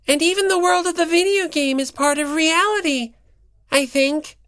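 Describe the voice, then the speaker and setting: A performer voicing a gentle, dreamy, thoughtful teenage girl in an audiobook, speaking softly and without hurry. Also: very high-pitched, normal speed, no room sound, pitched above the performer's own voice. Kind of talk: dramatic audiobook character dialogue.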